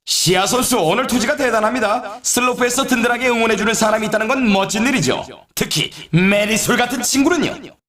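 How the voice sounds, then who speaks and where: A man's voice: extremely high pitch, a little fast, fairly bright tone. A man playing an upbeat snowboard race announcer in a video game, with a dramatic, showy commentary delivery. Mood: excited. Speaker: sports announcer. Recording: echoey, distant sound.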